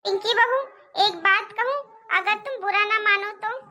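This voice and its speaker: An older Indian cartoon mother-in-law, acted by a woman, with an extremely high, childlike pitch and a bright, sharp edge. She laments and coaxes her family with pleading insistence. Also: unhurried, heavily acted. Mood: lamenting. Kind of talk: cartoon family drama acting.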